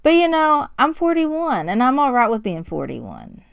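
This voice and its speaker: A woman in her forties co-hosting a podcast, chatting casually in an unhurried, agreeable way. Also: high voice, wildly swooping pitch, gravelly, no echo. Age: 40s. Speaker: podcast co-host. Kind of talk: casual chat.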